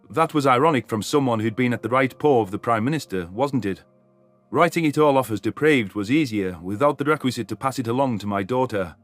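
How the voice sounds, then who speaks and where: A man reading scripted first-person science fiction as a story narrator. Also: medium pitch.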